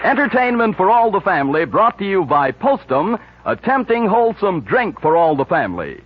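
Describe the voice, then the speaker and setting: Animated vintage radio announcer man, lo-fi. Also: sits high, normal speed, husky. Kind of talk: 1940s radio announcing and sponsor read. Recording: dull, hazy 1940s radio broadcast.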